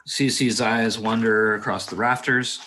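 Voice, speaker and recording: A man's voice: lowish voice, steady tone, a little slow, pushed and bright, a little hiss or hum. A man reading screenplay stage directions as a matter-of-fact narrator at a table read.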